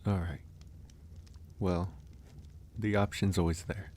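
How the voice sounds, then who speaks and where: A man playing a gentle, reassuring young werewolf who lives alone on a mountain, soft-spoken in an ASMR roleplay. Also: deep voice, little pitch movement, slow pace, a little hiss or hum. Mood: relaxed, friendly.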